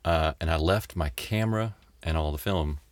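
Middle-aged American man, low register, dry wit, relaxed conversational storytelling as a guest. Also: moderate pace, a little hiss or hum. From Tennessee and Mississippi, United States. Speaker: interview guest.

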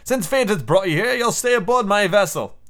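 A man's voice: high voice, animated, fairly quick, fairly bright tone, pitched above the performer's own voice. A man reading aloud, voicing an aloof middle-aged male ship commander who speaks formally and coldly. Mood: stern.

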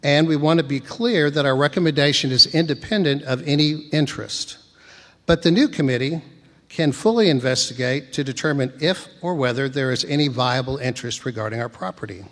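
Soft-spoken man reading report. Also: mid-range voice, fairly quick. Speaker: church committee member presenting. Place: church sanctuary. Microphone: podium microphone. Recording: long church reverb.

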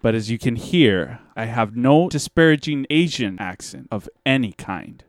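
A man playing a smug, polite martial arts master with a deliberate, deadpan, domineering manner in a comedy audio drama. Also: medium pitch, a little hiss or hum, lightly acted.

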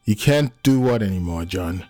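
A man's voice: low voice, animated, normal speed, warm tone, faint music behind. A man voicing a solemn, careful male friend bearing bad news in a dramatic audiobook. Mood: soothing. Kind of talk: dramatic audiobook character acting.

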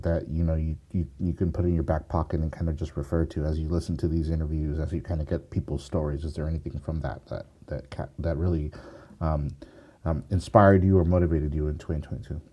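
A man's voice: extremely low pitch, steady tone, fairly quick, mellow, slightly rough, lo-fi sound. A man who produces a podcast, talking casually with many restarts and fillers.

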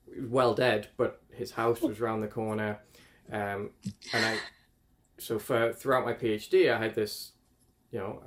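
A man, talking casually as an interview guest in a rambling, self-correcting way. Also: fairly low pitch. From northeast England. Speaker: climate researcher guest.

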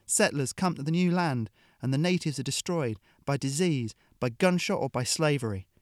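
A man voicing a big, brutal female mercenary who sneers and taunts, in a high put-on voice. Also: moderate pace, no room sound, studio quality, close to an everyday voice. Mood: contemptuous.

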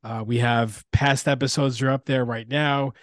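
A man's voice: medium pitch, fairly even intonation, moderate pace, a bit bright, dry recording. Male podcast host, close dry sound. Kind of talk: casual podcast hosting.

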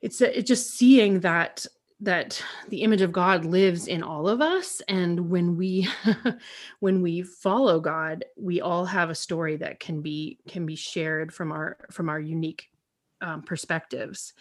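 A woman, a worship pastor, talking as a podcast guest in a candid, animated way that turns quick when she jumps in. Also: fairly low pitch. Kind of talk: casual conversation.

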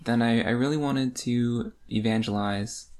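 A man's voice: mid-range voice, fairly even intonation, a little slow, darkish tone. A man in his twenties co-hosting a podcast in casual conversation about books. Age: 20s. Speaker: podcast co-host. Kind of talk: casual book discussion chat.

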